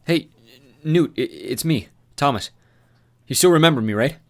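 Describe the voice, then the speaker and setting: A man's voice: fairly high pitch, expressive, brisk, voice pushed up, close to an everyday voice. A man voicing a frightened young man urgently pleading with his friend.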